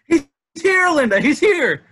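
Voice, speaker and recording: A man playing a boyish, cheeky young husband in a stage play table read, breezy and excitable, with a husky voice. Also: very high voice, a little slow, exaggerated voice. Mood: ecstatic. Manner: breezy, exclamatory.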